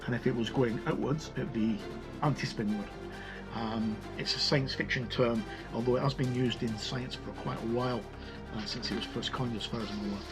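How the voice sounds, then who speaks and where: A man giving a casual, halting review of a tabletop game product in an informal way. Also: medium pitch. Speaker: video reviewer. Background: music.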